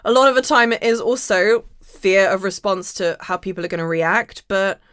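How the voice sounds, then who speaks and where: Female podcast host, mid pitch, slightly hoarse, emphatic motivational delivery, dry recording. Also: steady tone, normal speed, fairly bright tone, dry recording. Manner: emphatic, repeats key phrases. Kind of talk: motivational spiritual monologue to listeners.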